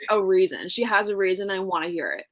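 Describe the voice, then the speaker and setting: A woman co-hosting a casual book chat, talking informally and stating her opinions in a halting way. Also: medium pitch, a bit bright. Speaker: co-host of a book readalong live show.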